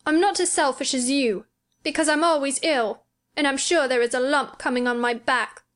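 A woman's voice: sits high, lively intonation, moderate pace, fairly bright tone, pitched above the performer's own voice. A woman voicing a spoilt, sickly, self-pitying young boy, petulant and imperious, in a storybook reading.

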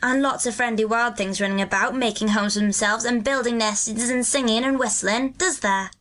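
A woman's voice: on the high side, fairly even intonation, normal speed, fairly bright tone, pitched above the performer's own voice, natural voice. A woman reading an audiobook voices a cheerful, kindly country boy with a broad Yorkshire dialect and a hearty manner.

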